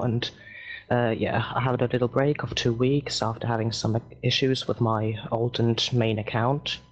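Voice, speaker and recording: A man's voice: mid-range voice, rough recording. Earnest man with an English accent. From Germany. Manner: earnest, halting, many restarts. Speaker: guild member. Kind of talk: casual voice chat. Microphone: headset over voice chat.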